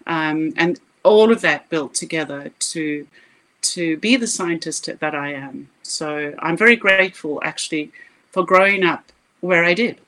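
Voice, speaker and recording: A woman's voice: sits low, unhurried, fairly bright tone, poor audio. A middle-aged woman from South Africa with a South African accent, a scientist guest speaking earnestly and with passion in a podcast interview. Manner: earnest, emphatic, passionate storytelling.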